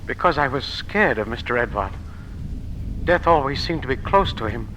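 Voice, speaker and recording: Man as uneasy servant, animated old-radio voice. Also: mid-range voice, moderate pace, lightly acted. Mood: fearful. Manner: theatrical, uneasy. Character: fearful, guarded male household servant. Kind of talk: 1940s radio drama acting. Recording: noisy old radio recording.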